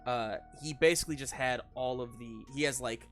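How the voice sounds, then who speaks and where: A man running a tabletop game, improvising narration in a halting, conversational and encouraging way. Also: medium pitch, a bit bright, faint music behind. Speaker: game master.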